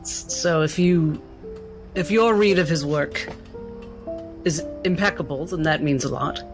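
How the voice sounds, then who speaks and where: A man's voice: on the high side, measured pace, over music. A man playing a reserved, skeptical woman scholar, politely curious and hesitant.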